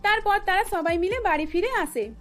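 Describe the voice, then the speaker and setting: A woman's voice: very high-pitched, normal speed, soft background music. A woman narrator reading a scripted cartoon story.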